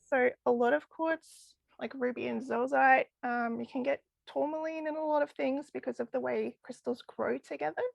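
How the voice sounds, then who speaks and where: Brief: woman guest, chatty and enthusiastic, voice going hoarse, gravelly fry, dry close sound. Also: high-pitched, fairly even intonation, normal speed, few pauses, no room sound, dull audio. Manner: enthusiastic, chatty with frequent laughs. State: losing her voice. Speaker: crystal healing guest. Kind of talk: casual podcast interview.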